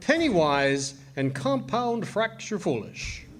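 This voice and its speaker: Man playing animated, sardonic radio doctor. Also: sits high, takes it slowly, a little hiss or hum, heavily acted. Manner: dry, wordy, sardonic. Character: crusty, wisecracking doctor, dryly scornful of fools. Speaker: doctor character. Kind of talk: 1940s radio comedy acting.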